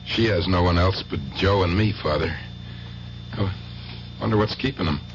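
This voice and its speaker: A man's voice: sits low, normal speed. An actor playing an older, hearty, folksy nightclub owner and showman in a vintage radio drama. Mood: folksy, reassuring. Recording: noisy, dull vintage radio recording.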